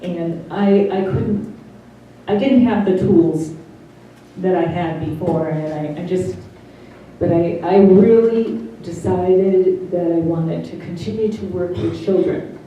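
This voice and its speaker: A middle-aged woman giving a personal testimony, speaking slowly and haltingly with carefully over-articulated consonants. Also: fairly low pitch, warm tone, lo-fi sound. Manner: slow and halting, many pauses. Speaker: interviewee. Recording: roomy, distant mic, faint hiss.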